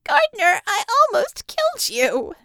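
A woman reader voicing a distraught, guilty young woman in high, loud, pleading outbursts. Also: lively intonation, measured pace, creaky, no room sound, heavily acted.

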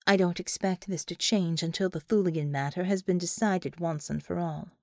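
A woman voicing a calculating female operative in an audiobook, speaking in a formal, measured and indifferent manner. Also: lowish voice, steady tone, darkish tone, dry recording, clean studio sound, voice pushed down, close to an everyday voice. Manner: formal, measured, delicate phrasing.

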